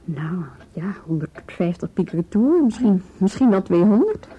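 An actress playing a musing, unhurried, laconic woman companion in a Dutch radio play. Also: mid-range voice, very animated, warm tone, slightly rough. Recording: dull muffled radio play recording.

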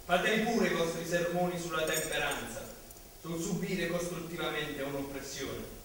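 A man's voice: on the high side, normal speed, a bit bright, natural voice. A man playing a defiant witness against oppression, reciting in a solemn theatrical style. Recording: very echoey room, distant, faint noise.

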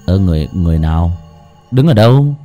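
A man's voice: mid-range voice, big pitch swings, quick pace, mellow, dull audio, soft background music. A man voicing an irritable husband who snaps and scolds. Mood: irritated. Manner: snapping and scolding.

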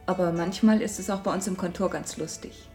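Actress as a low-voiced young clerk over music. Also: female voice, steady tone, a little fast, darkish tone, lightly acted. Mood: chatty, modest. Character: modest, chatty young female shipping office clerk. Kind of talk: German radio drama acting. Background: plucked guitar music.

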